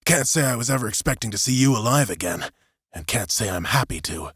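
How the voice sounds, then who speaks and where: A man's voice: mid-range voice, expressive, brisk, a bit bright, slightly rough, no room sound, studio quality. A man playing a hostile, bitter soldier in his forties who spits his words with scorn, in an audiobook drama. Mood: scornful.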